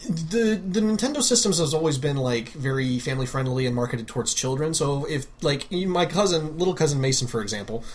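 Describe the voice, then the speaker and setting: A man's voice: on the high side, lively intonation, a little fast, few pauses. A man co-hosting a podcast, explaining his points in a casual, conversational way among friends. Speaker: podcast co-host.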